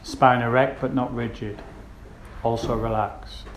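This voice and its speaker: Male meditation guide, mid-pitched and gentle, unhurried phrasing with pauses, flat steady intonation, echoey recording. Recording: roomy echo with faint background noise.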